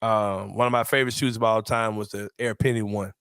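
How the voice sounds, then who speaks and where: A man in his forties from Memphis, hosting a sports podcast in a relaxed, slangy, laughing way. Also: fairly low pitch, brisk, clear, resonant tone, no echo, clean studio sound. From Memphis, USA. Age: 40s. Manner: laid-back, slangy, laughs often. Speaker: podcast host. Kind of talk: casual sports podcast chat.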